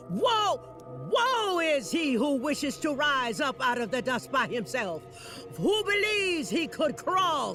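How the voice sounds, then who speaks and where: A shrill doom preacher. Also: female voice, heavily acted. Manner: fire-and-brimstone preaching, shouting warnings. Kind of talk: game voice acting.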